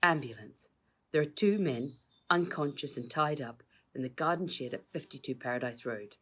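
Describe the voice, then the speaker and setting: Woman as a brisk, practical storybook girl. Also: very deep voice, animated, fairly bright tone, breathy edge, heavily acted. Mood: urgent. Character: brisk, composed girl taking charge in adventure story.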